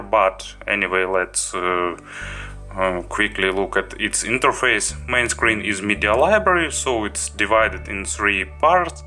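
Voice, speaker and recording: A man giving an unscripted product review walkthrough, talking in a halting, self-correcting way as he goes through features. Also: on the low side, pushed and bright. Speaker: gadget reviewer.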